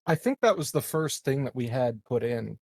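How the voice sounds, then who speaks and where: A man's voice: mid-range voice, animated, moderate pace, creaky, breathy edge, dry recording, clean studio sound. A man chatting casually as a player in a tabletop roleplaying game.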